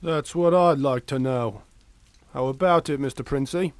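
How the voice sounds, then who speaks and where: An actor playing an inquiring police constable in a radio drama. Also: male voice, medium pitch, moderate pace, no echo, lightly acted. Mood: inquisitive.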